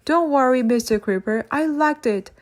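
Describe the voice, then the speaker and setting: A woman's voice: sits high, moderate pace, pitched above the performer's own voice, lightly acted. A woman voicing an obedient, anxious male workhouse henchman in a storybook, speaking deferentially to his boss. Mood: reassuring.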